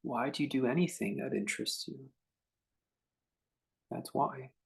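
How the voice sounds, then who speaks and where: A man leading a guided meditation as a teacher, speaking in a contemplative, unhurried way with long pauses between short phrases. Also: mid-range voice, creaky.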